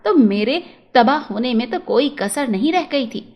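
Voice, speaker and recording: A woman's voice: sits high, animated, unhurried, dull audio. A woman voicing an affectionate, grateful male shopkeeper in a storybook tale, speaking earnestly.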